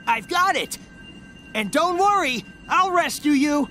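High, cocky young hedgehog hero. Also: male voice, animated, unhurried. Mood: reassuring. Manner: breezy, confident. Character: cocky, heroic young male cartoon hedgehog. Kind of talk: video game cutscene acting. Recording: loud music under the voice.

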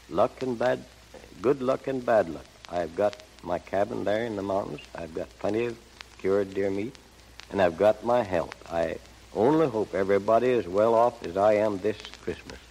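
A man's voice: lowish voice, darkish tone. An older man speaking in a folksy, plainspoken way as a guest in a scripted 1930s radio interview. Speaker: gold prospector guest. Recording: noisy, echoey 1930s radio broadcast.

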